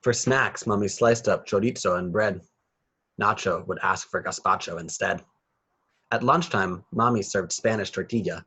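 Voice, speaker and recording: A man's voice: deep voice, normal speed, sharp tone, breathy edge. A man reading a children's picture book aloud with rhythmic, sing-song phrasing. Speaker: children's story reader.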